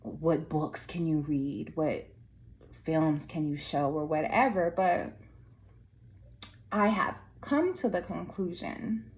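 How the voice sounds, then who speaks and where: A woman who trains art teachers, losing her voice and sounding hoarse, talking slowly with many restarts. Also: sits low, darkish tone. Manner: slow, halting, many restarts and fillers. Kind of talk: reflective casual monologue to viewers. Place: Anchorage area after workshops.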